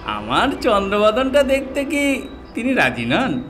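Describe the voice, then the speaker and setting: Male actor as smug mocking villain, high-pitched, wildly swooping intonation, detective radio play. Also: huge pitch swings, unhurried, fairly bright tone, soft background music, full character voice. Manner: taunting.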